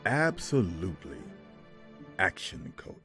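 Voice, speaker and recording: A man's voice: fairly low pitch, big pitch swings, takes it slowly, fairly bright tone, faint music behind, full character voice. A man voicing an earnest announcer in a scripted business commercial. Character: soft-spoken announcer for business coaching ad.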